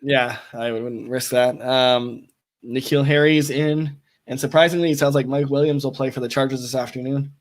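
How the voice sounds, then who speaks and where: A young man with a slightly raspy voice co-hosting a fantasy football show, giving injury news in a matter-of-fact way with many fillers. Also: medium pitch, fairly even intonation, normal speed. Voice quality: a bit raspy. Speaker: co-host. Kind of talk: casual fantasy football chat.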